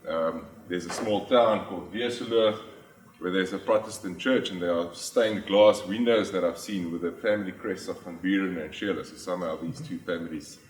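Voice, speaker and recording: A South African man with a lowish voice presents to a conference audience, pausing often on ums and restarting phrases as he explains. His first language is Afrikaans. The sound is roomy and distant, picked up from well off the mic in a large hall. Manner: frequent ums and restarts, plain explanatory delivery. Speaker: conference speaker. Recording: echoey hall, from far off the mic.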